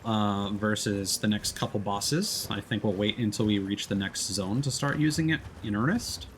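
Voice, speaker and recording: A man's voice: on the low side, a little hiss or hum. A man giving casual let's play commentary as a streamer, explaining and thinking aloud as he plays. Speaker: streamer playing a video game.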